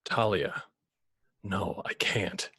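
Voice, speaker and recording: A man's voice: low voice, extremely slow, pushed and bright, slightly rough, full character voice. A man playing a brooding, curt, conflicted vigilante billionaire, terse and restrained, in a screenplay table read. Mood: torn, restrained.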